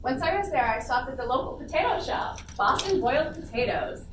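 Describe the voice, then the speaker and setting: A woman's voice: high-pitched, expressive, a little fast. A woman playfully reading her own humorous story aloud in a casual, amused manner. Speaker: panelist and writer. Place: convention panel room before a small audience. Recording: echoey room, distant mic, noisy.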